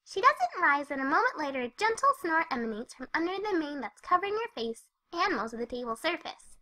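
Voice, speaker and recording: A woman voicing a bubbly, excitable young female pony who narrates a story in a squeaky, girlish, gushing way. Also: a little fast, exaggerated voice. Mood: lively narrating. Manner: exuberant, gushing. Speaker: fan fiction reader. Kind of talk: animated first-person story narration.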